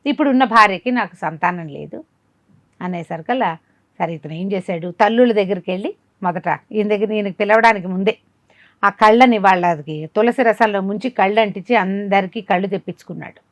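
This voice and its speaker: A woman's voice: medium pitch, brisk, dry recording. Woman storyteller, close dry sound. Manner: conversational, asks rhetorical questions as she narrates. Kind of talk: informal spoken telling of a folk tale.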